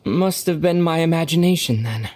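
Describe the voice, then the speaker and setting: Guy playing young traveler hero in a game, calm n watchful, pitch moves around a lot. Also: normal speed, close to an everyday voice. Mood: puzzled, calm. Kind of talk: video game voice acting.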